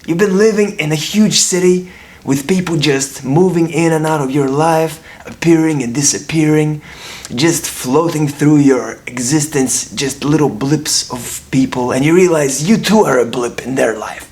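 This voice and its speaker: A man's voice: fairly high pitch, unhurried, a little mellow. A man in his twenties from Russia with a Brooklyn accent, talking casually to camera in a rambling way, repeating himself and restarting often. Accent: Brooklyn New York. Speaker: vlogger.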